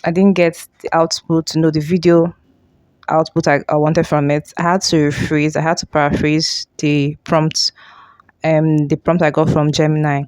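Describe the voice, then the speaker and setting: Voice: mid-range voice, little pitch movement, moderate pace, darkish tone, dry recording. Tutorial host, mid-range voice, informal step-by-step explaining, rephrases often, music playing behind.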